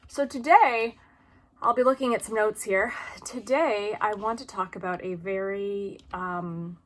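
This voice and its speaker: A woman's voice: medium pitch, unhurried. A woman in her early forties hosting an informal advice video, talking earnestly and with emphasis from personal experience. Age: early 40s. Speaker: video channel host.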